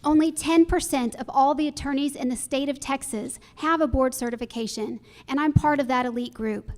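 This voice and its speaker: A woman's voice: high voice, fairly quick, darkish tone. A woman judge giving a prepared campaign introduction as a candidate at a forum. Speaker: judicial candidate, associate judge.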